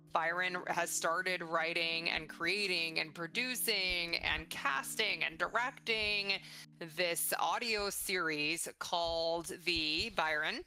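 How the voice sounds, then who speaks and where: A woman's voice: fairly low pitch, takes it slowly, bright, forceful tone, low-quality recording. A middle-aged woman hosting a casual conversational interview in an enthusiastic, encouraging way. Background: faint music.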